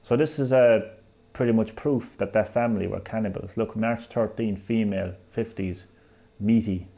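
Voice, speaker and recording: A man's voice: fairly low pitch, moderate pace, dull audio. A man in his thirties with a Cork Irish accent, chatting to his viewers as he streams a game. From Ireland. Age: 30s. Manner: chatty, jokey, talks to chat. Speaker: live streamer. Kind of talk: live gameplay commentary.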